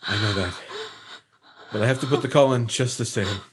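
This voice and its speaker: A man playing a hesitant, gentle working man who has witnessed a crime, halting and low-key, in a 1950s-style radio drama. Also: breathy edge. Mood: subdued, steady.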